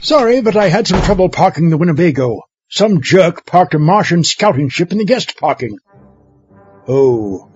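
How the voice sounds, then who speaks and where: A man's voice: sits high, big pitch swings, normal speed, a little mellow. A man playing a hapless, easily rattled villainous doctor who talks awkwardly in a comic radio sci-fi drama. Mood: sheepish. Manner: awkward, apologetic. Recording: dull lo-fi audio with faint music.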